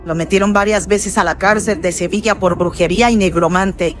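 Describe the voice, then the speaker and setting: A man's voice: sits high, fairly even intonation, moderate pace, fairly bright tone, soft background music. Man voicing a frail, courteous old lady. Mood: humble, confiding. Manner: courteous, exclamatory old-fashioned speech. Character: frail, humble, elderly Spanish noblewoman, trusting and devout. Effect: voice processed with filters. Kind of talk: Spanish horror radionovela acting.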